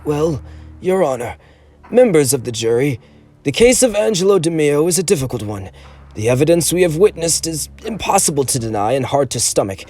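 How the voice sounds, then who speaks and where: A man voicing an elderly, gentle male defense lawyer who speaks in a pious, pleading way. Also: on the high side, sing-song, a little fast, voice pushed up. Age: older.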